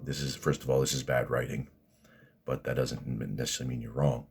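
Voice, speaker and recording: A man with a deep voice, ranting at his critics in rambling, combative commentary full of restarts and fillers. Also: level tone, brisk, creaky, breathy edge. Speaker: vlogger answering critics.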